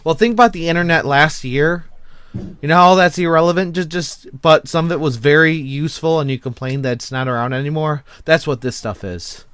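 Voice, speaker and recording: Casual man hosting, higher-pitched and dry. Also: fairly quick, fairly bright tone, smooth, dry recording. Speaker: host of an online panel discussion. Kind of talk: casual group chat.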